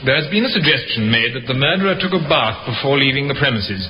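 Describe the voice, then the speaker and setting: An actor playing an insistent, methodical defence barrister with formal speech in a 1950s radio drama, on a dull, noisy recording. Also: male voice, medium pitch, expressive, quick pace, lightly acted. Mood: probing, insistent.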